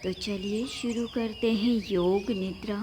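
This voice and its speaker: A woman leading a guided yoga nidra meditation, giving measured instructions in short phrases with long pauses. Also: mid-range voice, steady tone, background noise.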